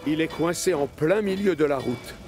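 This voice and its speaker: A man narrating a children's cartoon in French, with an expressive storytelling manner. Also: a little high, normal speed, over music.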